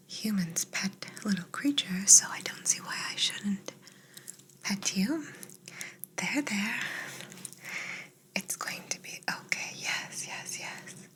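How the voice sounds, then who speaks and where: Woman playing a slow, whispering alien abductor. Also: fairly high pitch, exaggerated voice, rough recording. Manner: slow, soft whispering with gentle repeated reassurances. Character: curious, teasing, soothing female alien abductor examining a human. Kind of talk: whispered ASMR roleplay.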